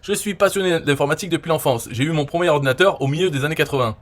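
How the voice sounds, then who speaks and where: A man's voice: on the high side, racing. French man, forties, rambling talk, lots of fillers, drops to a mutter at times. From France. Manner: rambling, frequent "euh" and "voilà", trailing off quietly. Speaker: online marketer reviewing his own interview. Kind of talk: casual explanatory monologue.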